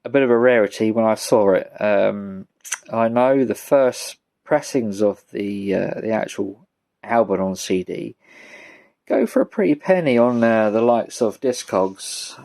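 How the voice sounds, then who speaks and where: A British man giving a casual, rambling show-and-tell as a vlogger, speaking in a halting, low-key way. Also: animated, creaky, a little mellow. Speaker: vlogger showing a music collection.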